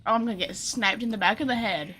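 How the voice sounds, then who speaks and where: A woman's voice: mid-range voice, fast talker, sharp tone, a little hiss or hum. A chatty woman giving casual, joking, sweary live commentary as she streams a game.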